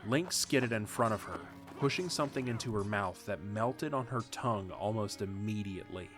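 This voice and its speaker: A man narrating a fan audio drama in a steady, measured storytelling manner. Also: on the low side. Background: music.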